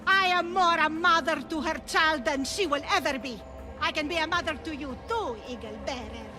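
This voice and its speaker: A woman's voice: high voice, normal speed, over music, lightly acted. A woman playing a cruel, gloating cult priestess in a video game, with a menacing, theatrical delivery. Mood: scornful, defiant. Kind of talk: dramatic video game voice acting.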